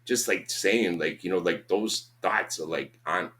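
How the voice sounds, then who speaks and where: Deep-voiced Boston man in his fifties. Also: measured pace, bright, forceful tone. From Boston, USA. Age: 50s. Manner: rambling, peppered with "you know what I'm saying", swears freely. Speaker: podcast guest. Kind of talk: casual conversation.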